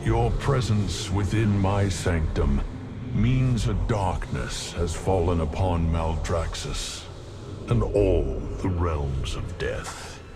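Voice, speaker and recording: A man playing an ancient, solemn immortal lord of death in a video game, speaking in a grave, portentous, measured manner. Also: deep voice, animated, music in the background. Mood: grave foreboding. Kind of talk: video game cinematic acting.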